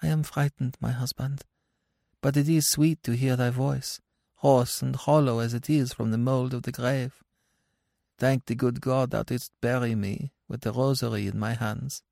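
A man's voice: mid-range voice, fairly even intonation, normal speed, vocal fry, dark tone, no echo, clean studio sound, voice pushed up, lightly acted. A man voicing a frightened, devout old wife speaking from her grave in archaic, wondering words for a storybook. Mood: frightened, tender. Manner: archaic, wondering, pleading speech. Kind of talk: dramatic storybook reading.

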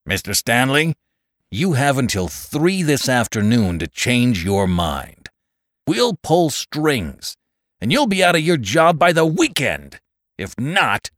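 A man voicing a hot-tempered, threatening head mechanic who talks in a forceful, demanding way. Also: medium pitch, sing-song, slow pace, dry recording, clean studio sound, voice pushed up. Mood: menacing.

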